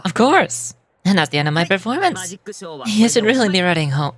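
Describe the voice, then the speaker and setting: A woman's voice: medium pitch, big pitch swings, brisk, crowd noise, pitched below the performer's own voice, lightly acted. A woman voicing a playful, shrewd young male street magician in a video game, with a teasing, theatrical, self-assured manner. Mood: kindly, wry. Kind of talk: video game character dubbing.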